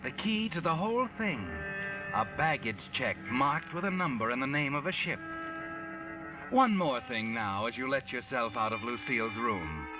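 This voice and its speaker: An actor playing a sly, knowing narrator of a 1940s radio mystery, speaking deliberately and insinuatingly. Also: male voice, mid-range voice, big pitch swings, close to an everyday voice. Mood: ominous, insinuating. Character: sly, knowing omniscient male narrator taunting the protagonist. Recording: distant old radio broadcast with loud music.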